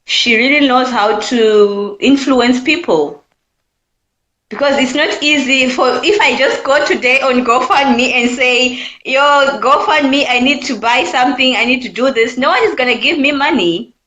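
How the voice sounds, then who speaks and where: Zimbabwean woman, twenties, earnest caller, slightly high pitch, echoey. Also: a little slow, fairly bright tone. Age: 20s. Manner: earnest, repeats her points. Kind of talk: call-in opinion chat.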